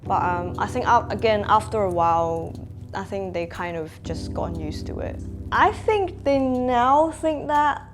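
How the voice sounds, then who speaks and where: A woman in her twenties from Hong Kong, talking in a sit-down interview as a makeup artist, pausing often with "um" and laughing between thoughts. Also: mid-range voice, lively intonation. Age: 20s. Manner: reflective, frequent "um" fillers, laughs between thoughts. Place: bright room indoors, seated in armchair. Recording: music playing clearly behind.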